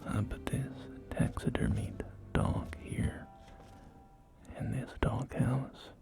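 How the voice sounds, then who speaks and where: A man's voice: mid-range voice, steady tone, takes it slowly, gravelly. A man whispering in an ASMR roleplay as a courteous, enthusiastic post-apocalyptic real estate agent, with genteel, hesitant sales patter. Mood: softly enthusiastic. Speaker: roleplay performer. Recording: music behind close whispering. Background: background music.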